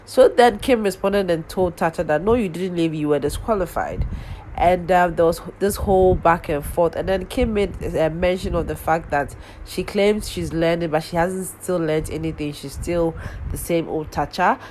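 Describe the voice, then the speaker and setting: A woman with a notably low voice and a head cold that leaves her sounding nasal. She talks in a loose, casual way, stopping for ums and restarting her sentences as she goes. A faint noise sits under her voice. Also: normal speed, a little mellow, low-quality recording. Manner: rambling, lots of ums and restarts. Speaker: vlogger recapping a reality show. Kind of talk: casual chatty recap.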